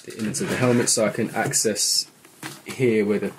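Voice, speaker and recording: A man's voice: on the low side, steady tone, normal speed, noisy background. A man talking informally through a hands-on how-to tutorial, thinking aloud as he works. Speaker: vlogger giving a how-to tutorial. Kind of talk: casual hands-on tutorial narration. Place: indoors at a wooden table in a home room.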